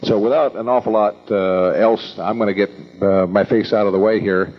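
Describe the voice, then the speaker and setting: Older American man, low voice, halting delivery. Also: steady tone. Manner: halting, rambling, folksy. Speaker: event host introducing speakers. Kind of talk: informal spoken introduction at an evening meeting. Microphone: event microphone. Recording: dull lo-fi event recording.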